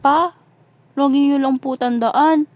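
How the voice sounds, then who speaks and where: A woman's voice: high voice, fairly even intonation, slow. A woman playing an affectionate young daughter who earnestly reassures her father in an amateur radio drama. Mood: tender, earnest. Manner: gentle, earnest.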